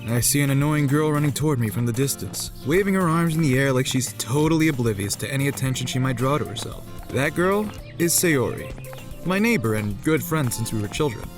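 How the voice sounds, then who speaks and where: A man voicing a wry, apathetic high school boy who narrates his school life in the first person for a visual novel dub. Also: animated, a little fast, over music, lightly acted. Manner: wry, resigned.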